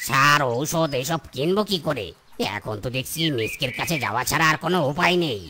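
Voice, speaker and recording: A woman's voice: deep voice, expressive, brisk, pushed and bright, a little hiss or hum. A woman voicing a weary, gossipy farmer animal in a comedy cartoon, grumbling to itself. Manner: grumbling, muttering commentary.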